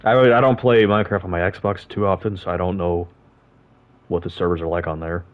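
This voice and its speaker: A low-pitched man chats casually over a game, cracking jokes, swearing and laughing a lot as he builds. His voice comes through dull and lo-fi. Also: steady tone, brisk. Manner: joking, laughs often, swears. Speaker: gamer. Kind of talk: casual gaming chat. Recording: dull lo-fi sound.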